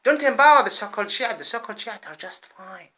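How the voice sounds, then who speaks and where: Heated, lively-voiced man ranting. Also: fast, a little hiss or hum. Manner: heated, repetitive, swings between loud outbursts and low muttering. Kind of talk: impassioned monologue to camera.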